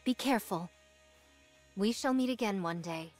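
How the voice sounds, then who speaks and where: A woman playing a composed, stoic warrior woman with formal, measured speech in a video game cutscene. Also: mid-range voice, a little mellow, natural voice. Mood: calm, fond. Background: music.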